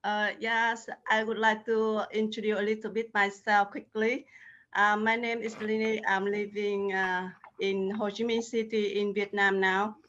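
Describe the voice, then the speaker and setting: Woman speaking slow and flat, lo-fi call sound. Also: medium pitch, a little hiss or hum. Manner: slow, careful, polite. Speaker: learning group member. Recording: dull lo-fi call audio.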